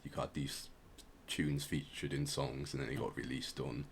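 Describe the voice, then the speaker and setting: A man hosting a podcast, informally explaining music history in a halting way as he searches for words. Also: a bass voice, little pitch movement, a little hiss or hum. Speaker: podcast host.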